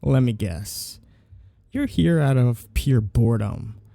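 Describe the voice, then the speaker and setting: A man playing a suave vampire lord in an intimate ASMR roleplay, teasing and condescending in a slow, menacing purr. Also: medium pitch, expressive, gravelly, mellow, no echo, clean studio sound, full character voice. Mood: predatory, flirtatious.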